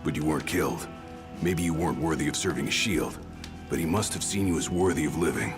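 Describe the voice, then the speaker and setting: An actor playing a gruff, brash young royal bodyguard in a video game, with blunt, cocky tough talk. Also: male voice, rumbling low, quick pace. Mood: challenging, steady. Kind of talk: video game cutscene acting. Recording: dramatic game music behind.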